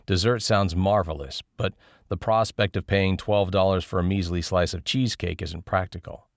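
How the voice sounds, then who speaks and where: A low male voice delivers scripted audiobook narration in the first person. The recording is close and very dry, with no room sound around the words. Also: fairly even intonation, moderate pace, no echo.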